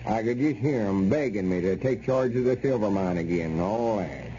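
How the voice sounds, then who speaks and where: Man acting low-voiced, sharp-edged old Southern backwoods schemer. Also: moderate pace, close to an everyday voice. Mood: rueful. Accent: rural Southern American. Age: older. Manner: folksy, exasperated drawl. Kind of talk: 1930s-40s radio comedy acting. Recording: dull, noisy old radio recording.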